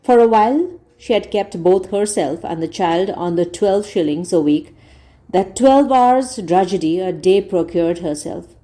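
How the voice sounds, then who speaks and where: A woman reading a classic novel aloud as a storyteller, in measured, deliberate phrasing. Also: on the low side, dark tone. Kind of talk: audiobook-style read-aloud narration.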